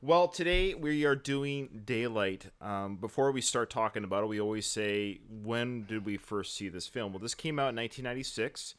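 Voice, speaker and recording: A man in his forties hosting a casual podcast chat and talking with animated, fan-like enthusiasm. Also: on the low side, moderate pace, hardly any pauses, clear, resonant tone. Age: 40s. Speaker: podcast co-host.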